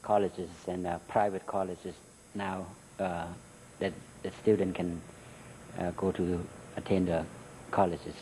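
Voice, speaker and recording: A middle-aged man with a Thai accent, Thai his first language, answering interview questions in halting English with many fillers. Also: low voice, flat delivery, dark tone, slight background noise, low-quality recording. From Thailand. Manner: halting, many "uh" and "you know" fillers, laughs between answers. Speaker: interview guest. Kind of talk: recorded interview.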